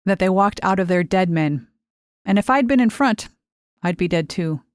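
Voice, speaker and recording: A woman's voice: fairly low pitch, animated, moderate pace, no room sound. Woman narrating literary fiction, dry close sound. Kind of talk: literary audiobook narration, first person.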